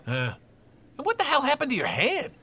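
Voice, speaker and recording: An actor playing a blustery, mocking boss with a brash, needling manner in an audio drama. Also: male voice, very high voice, wildly swooping pitch, brisk, sharp tone, soft background music, full character voice. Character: blustery, mocking male boss, a family man.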